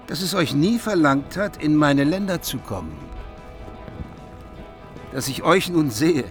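A stately medieval king. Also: mid-range voice. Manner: stately, formal. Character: hospitable, wary medieval king. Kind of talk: German radio play acting. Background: music.

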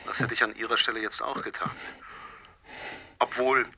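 A cold, vengeful man in a radio drama, with a piercingly tense, rough-edged voice. He phrases his threats politely and deliberately, savouring each taunt like someone long overlooked. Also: medium pitch, flat delivery, pushed and bright. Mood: gloating. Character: vengeful male stranger, self-styled nobody, coldly menacing caller. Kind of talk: radio play acting.

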